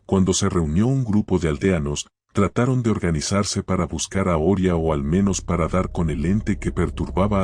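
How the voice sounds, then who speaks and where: A man with a very low voice narrates a scripted horror tale in the role of storyteller. Music plays clearly behind his narration. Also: a little mellow. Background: background music.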